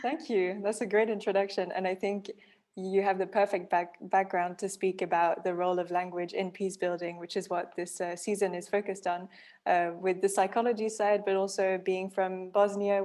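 A woman co-hosting a podcast, speaking in a measured, polite way as she introduces topics and asks questions. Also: medium pitch, level tone, darkish tone. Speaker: podcast co-host.

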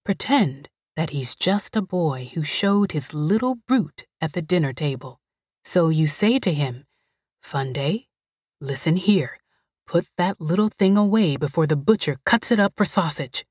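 A woman voicing a calm older woman who comforts a frightened girl in a low, soothing voice. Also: measured pace, husky, no room sound, clean studio sound, heavily acted.

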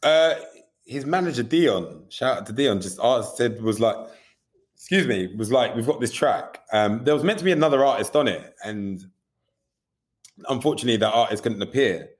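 A man's voice: mid-range voice, lively intonation, moderate pace. A man being interviewed about directing music videos, talking candidly and animatedly, often asking "you know what I mean" and swearing casually.

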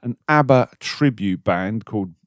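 A man's voice: fairly low pitch, expressive, measured pace, dry recording, clean studio sound. A British man co-hosting a podcast, chatting casually and laughing at his own stories. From Britain. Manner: chatty, self-mocking, laughs while telling stories. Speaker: co-host.

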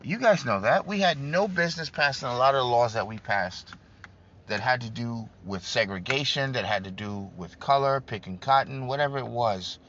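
A man's voice: medium pitch, moderate pace, fairly bright tone, muffled recording, slight background noise. A man giving an emphatic political talk to his online audience, repeating his points and calling listeners "guys". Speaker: political speaker on a broadcast.

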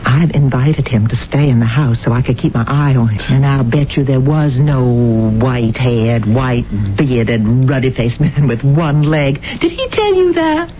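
An actress playing an eager, nosy and self-assured amateur sleuth in an old-time radio drama, with an excitable, stammering delivery. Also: female voice, rumbling low, moderate pace, few pauses. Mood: confident, insistent. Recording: tinny, noisy old radio recording.

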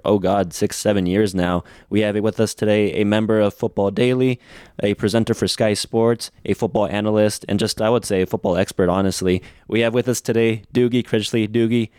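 Fast-talking, eager American podcast host. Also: male voice, fairly low pitch, a little mellow, smooth, no echo, studio quality. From United States. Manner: eager, repetitive, fan-like hyping. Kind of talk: enthusiastic podcast hosting.

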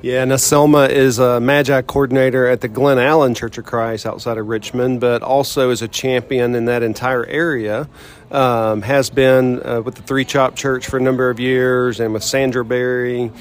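A man's voice: mid-range voice, flat delivery, normal speed. Conversational male podcast host. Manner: friendly, conversational questioning. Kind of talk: podcast interview.

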